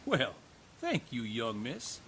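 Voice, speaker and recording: A man narrating a radio drama in a wry, conversational tone, with a lively rise and fall in his voice. A faint hiss sits under the recording. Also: medium pitch, takes it slowly. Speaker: narrator and investigator.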